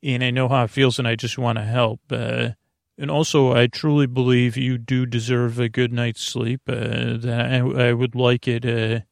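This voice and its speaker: A man hosting a bedtime podcast in a casual, gentle, reassuring ramble, halting and interrupting himself as he trails off mid-thought. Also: no room sound, studio quality. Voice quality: gravelly, creaky. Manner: gentle, reassuring, low-pressure. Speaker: podcast host.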